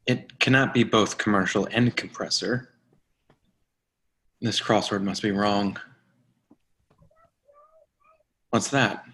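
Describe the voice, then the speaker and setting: A man in a readers' theatre play, playing a self-important bald office boss who speaks deliberately and haltingly. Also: fairly low pitch, steady tone, fairly bright tone. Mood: puzzled.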